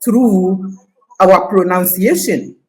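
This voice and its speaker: An older woman from Ghana with a Ghanaian Ashanti accent touched by Scottish, telling of her years as a teacher in a confident, deliberate way. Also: mid-range voice, a little mellow, low-quality recording. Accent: Ghanaian, Ashanti (Akan) with some Scottish. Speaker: guest, retired teacher. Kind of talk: interview conversation.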